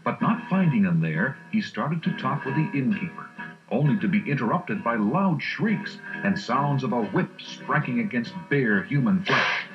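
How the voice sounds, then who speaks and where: A man's voice: mid-range voice, big pitch swings, normal speed, music in the background. A man narrating a scripted adventure storybook. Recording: muffled storybook recording.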